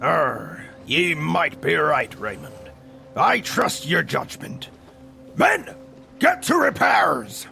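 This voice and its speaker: A man playing a gruff, domineering pirate captain in an audio drama, barking orders in a gravelly stage-pirate accent. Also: on the high side, sing-song, slow, pushed and bright, soft background music, full character voice. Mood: grudging, commanding.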